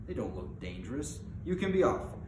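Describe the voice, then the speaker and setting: A man reading a storybook aloud as a huge, gruff bearded man who is suspicious, blunt and curt. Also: medium pitch, very animated, normal speed, voice pushed up, close to an everyday voice. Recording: echoey room with clear background noise.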